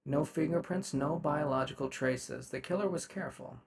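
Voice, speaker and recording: Man acting formal robotic detective's partner, gravelly edge. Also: fairly high pitch, slight background noise. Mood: static, analytical. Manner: formal, measured, clinical. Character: polite, methodical robotic AI detective's partner, emotionally restrained. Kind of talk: ASMR roleplay character acting.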